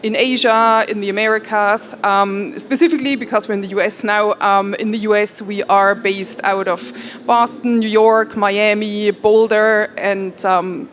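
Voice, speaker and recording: A woman's voice: medium pitch, steady tone, unhurried. A woman from Germany who is an accelerator CEO, speaking conversationally on a conference panel with frequent fillers. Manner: conversational, many "um"s and "like"s. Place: conference hall.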